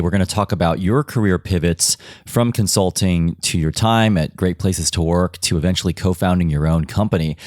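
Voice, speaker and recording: A man hosting a podcast, introducing and questioning his guest in a measured, friendly way. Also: deep voice, no echo, clean studio sound. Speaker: podcast host.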